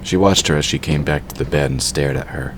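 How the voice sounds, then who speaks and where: A man's voice: very deep voice, a little fast, slight background noise. A man narrating a fantasy audio drama from a script.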